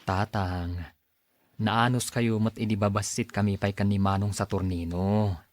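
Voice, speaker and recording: A man's voice: fairly low pitch, fairly even intonation, unhurried, a little mellow, no room sound, natural voice. A man playing a devoted, respectful grown son in a radio drama, speaking earnestly. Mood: grateful, earnest. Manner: earnest, deferential.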